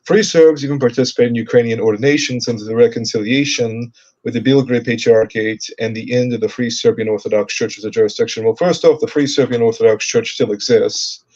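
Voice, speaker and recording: A man co-hosting a live call-in stream, answering chat comments. His voice is dark and mellow, and his speech halts often on fillers and restarts. The stream audio sounds slightly dull. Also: medium pitch, brisk. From United States. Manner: hesitant, many fillers and restarts. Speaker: co-host. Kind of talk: livestream Q&A chat.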